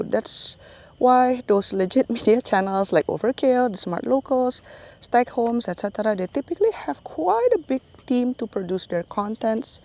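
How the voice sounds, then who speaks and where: Chatty Singaporean woman with a mellow voice. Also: mid-range voice. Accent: Singaporean English. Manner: chatty, laughs often mid-sentence. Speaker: YouTube channel host. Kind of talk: casual voiceover chat to viewers.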